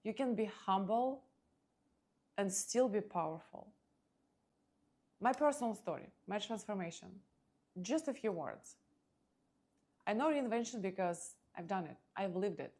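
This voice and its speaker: A female podcast host with a Ukrainian accent delivers a motivational monologue. Her voice sits at a medium pitch for a woman. She speaks in short, emphatic phrases and leaves dramatic pauses between them. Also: expressive, darkish tone.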